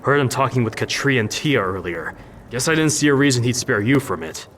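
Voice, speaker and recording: An actor playing a jaded, sardonic war veteran and brewer in a fantasy video game, dry and wistful. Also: male voice, mid-range voice, expressive, a little fast, a bit bright, slight background noise, lightly acted. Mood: bitter.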